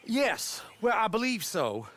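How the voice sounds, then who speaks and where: Fretful young gentleman. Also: sits high, very animated, unhurried, heavily acted. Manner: anxious, pleading, refined. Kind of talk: video game cutscene acting.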